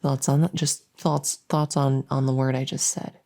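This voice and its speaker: A woman's voice: a bass voice, level tone, normal speed, mellow. A woman voicing a silly, awkward monster who lives under a bed, rambling and stammering earnestly in a comedic ASMR roleplay. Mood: earnest, probing. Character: silly, awkward female monster living under a bed, bungling her job of scaring. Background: writing sounds.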